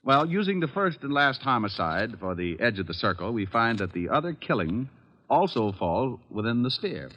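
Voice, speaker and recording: An actor playing a wry, unflappable private detective who also narrates, in the style of an old-time radio drama. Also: male voice, mid-range voice, big pitch swings, fairly bright tone. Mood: wry, reasoning. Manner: wry, glib, quick with dry wisecracks. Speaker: lead actor and first-person narrator. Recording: vintage radio recording with music.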